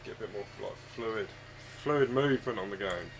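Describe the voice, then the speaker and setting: A man with a husky, croaky voice, hoarse from a chest cold, chatting casually and rambling hesitantly while streaming a game from home on a desk microphone. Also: fairly low pitch, expressive, normal speed, slight background noise, low-quality recording. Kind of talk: casual live-stream chat. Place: home room with his dog.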